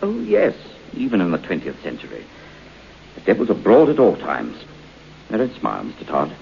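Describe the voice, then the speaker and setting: A solemn priest in a vintage radio horror drama, full of dire warning. The voice is low and creaky, rising and falling dramatically. The recording is muffled, with a light hiss. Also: male voice, wildly swooping pitch, moderate pace, heavily acted. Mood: grave warning. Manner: solemn, portentous. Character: grave, foreboding priest warning of the devil. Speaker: actor. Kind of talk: old time radio horror acting.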